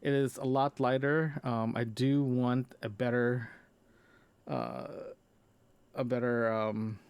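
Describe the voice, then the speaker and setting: A man hosting a casual audio diary, talking in a halting, rambling way with frequent repeats and backtracking. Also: mid-range voice, little pitch movement, a little mellow, clean tone, no room sound. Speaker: podcast host. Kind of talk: casual audio diary about personal gear.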